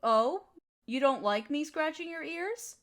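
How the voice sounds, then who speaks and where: A woman playing an affectionate, teasing, gently dominant partner who soothes and flirts in an intimate roleplay audio. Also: high-pitched, animated, a little slow. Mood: flirty, teasing.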